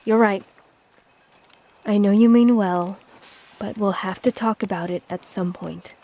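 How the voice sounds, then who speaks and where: An actress playing a devout, proud and troubled priestess in a video game cutscene, speaking solemnly and with command. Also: female voice, mid-range voice, steady tone, a little slow, mellow. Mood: stern.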